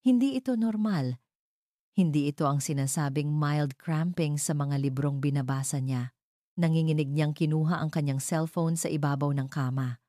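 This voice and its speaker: A woman's voice: low voice, moderate pace, dark tone, no echo, studio quality. A woman reading scripted narration for a dramatic love story.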